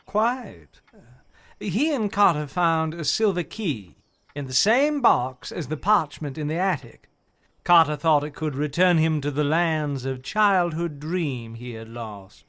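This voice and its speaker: High-voiced formal gentleman. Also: expressive. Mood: earnest, intrigued. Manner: formal, measured storytelling. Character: earnest, thoughtful gentleman recounting an occult mystery. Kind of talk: radio drama acting.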